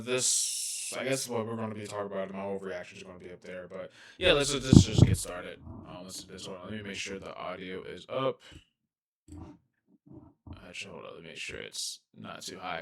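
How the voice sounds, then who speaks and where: A soft-spoken young man rambling casually through a reaction video, full of fillers and restarts. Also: fairly low pitch.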